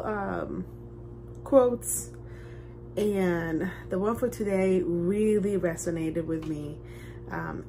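A woman in her forties chatting casually for a vlog, pausing often between short phrases, recorded on a phone indoors at home. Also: fairly low pitch, warm tone. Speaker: vlogger, host. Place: small rooms at home, indoors. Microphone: phone at arm's length. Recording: noticeable background room noise.

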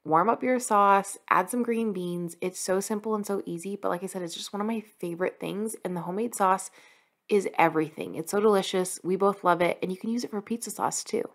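A woman's voice: medium pitch, brisk. A young adult woman chatting casually over a home cooking vlog, upbeat and full of filler words. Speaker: vlogger. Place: home kitchen.

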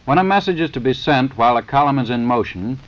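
An American man reading a formal 1930s scripted narration for a training film in a measured, matter-of-fact, instructional manner. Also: medium pitch, animated. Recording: dull, lo-fi 1930s film soundtrack.

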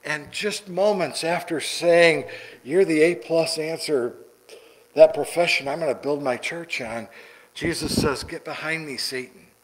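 A middle-aged man preaching a sermon from the pulpit in a church sanctuary, deliberate and repeating words for emphasis. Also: fairly high pitch, low-quality recording. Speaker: pastor. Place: church sanctuary, large indoor room.

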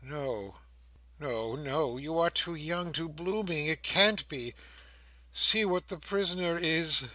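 Man acting a frail, anguished old prisoner, pitched up. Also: sharp tone, no echo, exaggerated voice. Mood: anguished disbelief. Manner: halting, repetitive, exclamatory questioning.

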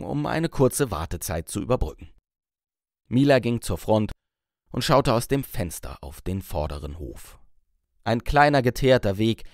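A man's voice: medium pitch, no room sound, studio quality. A man reading a novel aloud as scripted audiobook narration.

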